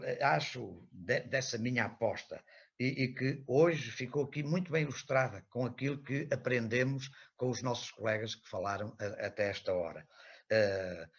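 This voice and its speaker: Husky older professor, halting and erudite. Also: male voice, on the low side, fairly bright tone, lo-fi sound. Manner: halting, frequently hesitant, with repeated words and erudite, long-winded phrasing. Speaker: professor and author speaking at an academic seminar. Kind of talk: academic talk, semi-improvised lecture.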